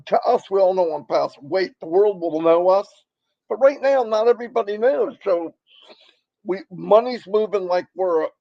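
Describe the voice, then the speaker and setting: A man with a crackly voice presenting on a live stream, giving a rambling, folksy motivational pitch and repeating points for emphasis. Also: gravelly, dry recording, dull audio.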